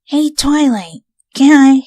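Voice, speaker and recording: A woman's voice: high-pitched, takes it slowly, mellow, no room sound, clean studio sound, pitched above the performer's own voice. A woman voicing a brash, lazy, unrepentant young female pony in a story reading, casual and blunt. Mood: blunt, grudging.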